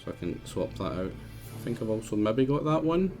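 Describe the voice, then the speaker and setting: A man's voice: medium pitch, sing-song, a little fast, a little mellow, faint music behind. A man with a Scottish accent giving casual, chatty commentary as he plays a game live. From Scotland. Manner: chatty, muttering to himself. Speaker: streamer.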